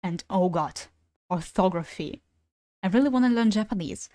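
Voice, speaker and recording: Young woman, Ukrainian native speaker, casual stream chatter, mid pitch, dry recording. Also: animated, moderate pace, dark tone, no room sound. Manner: chatty, swears casually, laughs between thoughts. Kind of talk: casual gaming stream chat.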